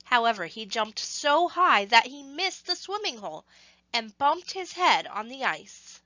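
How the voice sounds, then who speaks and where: A woman reading a scripted story to children, with expressive, measured phrasing and emphasis on action words. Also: high voice, dry recording, muffled recording.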